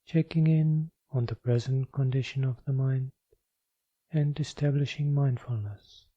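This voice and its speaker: Guy teaching meditation, really soft dark mellow voice, measured phrasing, kinda dull dry recording. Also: mid-range voice, dry recording. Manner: measured, deliberate phrasing with gentle instruction. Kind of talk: guided meditation.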